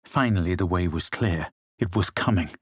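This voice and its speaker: A man voicing a haughty, cool young adventurer hero in a video game, theatrical and measured, with a husky voice. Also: low voice, no room sound, studio quality. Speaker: video game player character. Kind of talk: scripted game voice lines, dramatic narration and barks.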